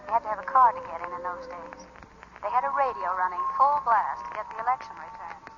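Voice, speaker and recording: Actress as a nostalgic, expressive inner voice. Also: female voice, medium pitch, quick pace, heavy background noise, close to an everyday voice. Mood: nostalgic, knowing. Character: reflective inner voice recalling a woman's happiest days. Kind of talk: dramatic narration, 1940s radio acting. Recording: muffled old radio broadcast. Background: music.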